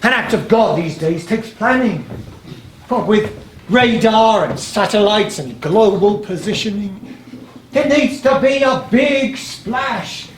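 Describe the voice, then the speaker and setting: An actor playing a weary, boastful aging sea god in a live stage play, declamatory and grumbling. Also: male voice, sits high, animated, slow. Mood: weary, exasperated. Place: live in a playhouse, far from the mic. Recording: distant, echoey theatre stage, faint noise.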